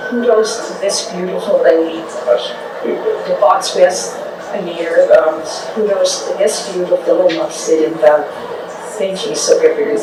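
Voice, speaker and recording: An older woman from a small town giving an unprepared, nostalgic speech at a public event, speaking haltingly as she ad-libs. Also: on the low side, fairly even intonation. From Trinidad, Colorado. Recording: echoey hall, distant mic, background noise.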